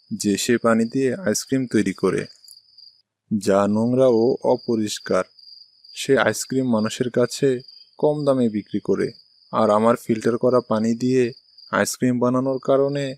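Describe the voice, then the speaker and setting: A man's voice: medium pitch, steady tone, moderate pace, long pauses, darkish tone, close to an everyday voice. A man voicing an ice cream seller and husband in a cartoon story. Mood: earnest, patient. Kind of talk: Bengali cartoon dialogue.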